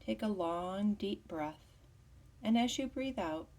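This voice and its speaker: A woman teaching yoga nidra as a guided meditation, with a soft, breathy voice and soothing, measured instruction. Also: medium pitch, lively intonation, distant mic.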